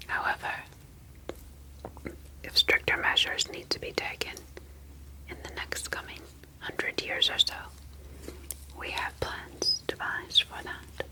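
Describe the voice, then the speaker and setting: Woman whispering as a slow, calm alien scientist. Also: lightly acted, poor audio. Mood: grave, disapproving. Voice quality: breathy, unvoiced whisper. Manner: slow, soothing, deliberate, formal phrasing. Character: calm, superior ocean alien scientist experimenting on humans. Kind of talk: ASMR roleplay.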